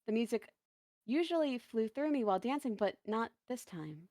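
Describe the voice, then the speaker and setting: Woman voicing a lonely dancer in a dramatic story reading, fretful and emotive, fairly high voice, very dry sound. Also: animated, moderate pace, mellow, no echo, lightly acted. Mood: wistful. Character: lonely, anxious, self-doubting dancer lost in a forest.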